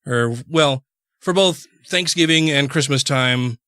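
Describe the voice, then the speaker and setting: A man in his forties hosting a podcast in casual chat, rambling with halting restarts. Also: fairly high pitch, pushed and bright, clear, resonant tone, no room sound, clean studio sound. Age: 40s. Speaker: podcast host.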